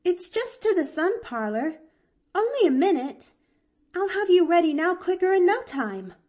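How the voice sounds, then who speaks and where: A woman voicing an eager, earnest, excitable young girl in an audiobook, with gushing, pleading delivery. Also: fairly high pitch, slow, voice pushed up, heavily acted. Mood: excited. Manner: gushing, breathless exclamations and pleading. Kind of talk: audiobook character voice.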